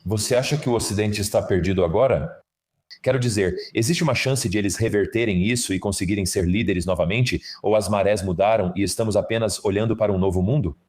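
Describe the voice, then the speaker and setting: Low-voiced male interview host. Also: quick pace, a little mellow. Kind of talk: interview questions, Portuguese dub.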